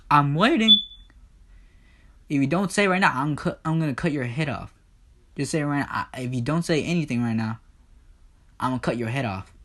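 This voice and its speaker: A man plays an aggrieved god of the underworld in a comic retelling of myth. He rants in a middle-pitched male voice, full of swearing and threats. The temper is vengeful and fed up. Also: a bit bright, lightly acted. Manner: ranting, profane, threatening. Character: furious, foul-mouthed, vengeful god Hades, aggrieved brother. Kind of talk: improvised comedic myth retelling.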